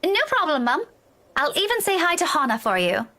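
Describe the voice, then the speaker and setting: A woman's voice: high-pitched, sing-song, a little fast, sharp tone, heavily acted. A woman acting as a dutiful young adult daughter in a video game, reassuring, easygoing and lightly playful. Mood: cheerful.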